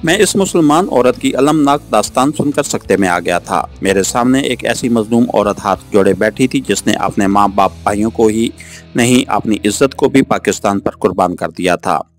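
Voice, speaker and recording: Low-voiced man narrating a dramatic novel over music. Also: animated, normal speed. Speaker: audiobook narrator. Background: background music.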